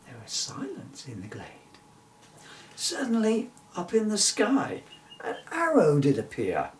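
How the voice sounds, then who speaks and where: A man's voice: sits high, huge pitch swings, slow pace, far from the mic, a little hiss or hum. A man reading a rhyming children's story expressively, as a storyteller.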